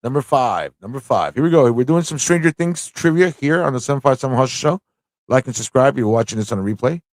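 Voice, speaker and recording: A man's voice: medium pitch, lively intonation, fast, no echo. Guy hosting a live trivia stream, super chatty n playful, voice goes up and down a lot, pretty dry sound. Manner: playful, chatty, thinking aloud to viewers. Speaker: host of a live pop culture show.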